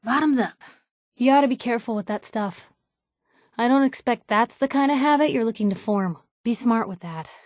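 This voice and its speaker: A woman playing a sassy, warm-hearted young reporter and loyal companion in a video game, talking in wry, breezy, clipped slang. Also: fairly high pitch, moderate pace, no room sound, studio quality, lightly acted. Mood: wry, teasing. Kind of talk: game voice lines, short reactive barks.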